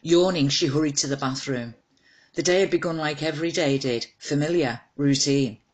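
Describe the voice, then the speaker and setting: A woman's voice: low voice, moderate pace. A woman narrating a scripted short story as its author, in a wry, dramatised reading.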